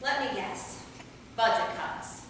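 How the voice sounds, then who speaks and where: An actress playing an earnest, skeptical young heroine in a staged play reading. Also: female voice, on the high side, expressive, slow. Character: Maggie, earnest, skeptical woman questioning her friend and captive. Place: theater hall, mic at a distance. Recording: echoey hall from far off, noisy.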